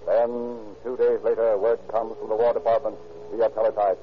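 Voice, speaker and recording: A man giving measured, dramatic scripted narration for a vintage radio crime drama. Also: on the low side, level tone. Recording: dull old radio recording with music.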